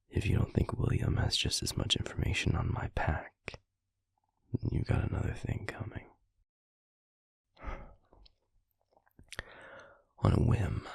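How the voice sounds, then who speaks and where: A man playing a stern, guarded werewolf pack alpha in an audio roleplay, speaking formally and deliberately in a gravelly, husky voice. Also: low-pitched, a little mellow, no echo, studio quality, full character voice. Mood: guarded, firm. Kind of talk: scripted audio roleplay acting.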